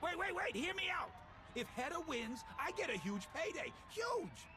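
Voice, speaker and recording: A man's voice: extremely high pitch, very animated, moderate pace, music in the background. A man acting a cocky, scheming mercenary in a video game, with a slangy, wheedling, theatrical delivery. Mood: panicked pleading. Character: cocky, scheming male mercenary, cowardly when cornered. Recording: noisy outdoor game ambience.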